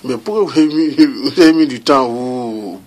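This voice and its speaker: A man's voice: medium pitch, normal speed. A man interviewing on camera, asking pressing questions in a hesitant way full of fillers.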